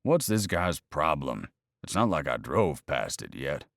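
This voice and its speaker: A man voicing his laid-back, rough-edged friend in a read-aloud story, casual and offhand. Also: extremely low pitch, sing-song, unhurried, fairly bright tone, no echo, studio quality, voice pushed down, full character voice.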